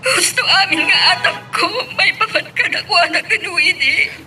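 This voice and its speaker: A woman's voice: very high voice, lively intonation, normal speed, sharp tone, soft background music, full character voice, poor audio. A woman playing a heartbroken abandoned wife, crying through a melodramatic audio drama. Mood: tearful. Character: heartbroken abandoned wife confiding in her father.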